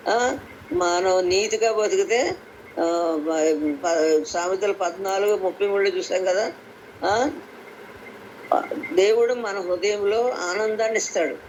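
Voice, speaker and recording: A woman's voice: mid-range voice, takes it slowly, long pauses, a little mellow, lo-fi sound. A woman gives an informal devotional sermon over a conference call, explaining scripture and repeating phrases for emphasis. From Andhra Pradesh, India. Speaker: lay preacher at a prayer meeting. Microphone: phone on a conference call. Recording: noisy conference call audio.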